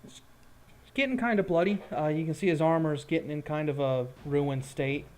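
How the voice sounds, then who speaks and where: A man's voice: a little high, moderate pace, slight background noise. A man narrating a tabletop roleplaying game as game master, telling the action in a casual, descriptive way.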